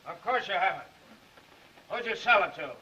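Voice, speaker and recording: An actor playing a tough-talking, insistent newspaper reporter in a 1930s crime film, on a muffled old soundtrack. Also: male voice, sits high, expressive, moderate pace, pushed and bright, full character voice. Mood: tough, impatient.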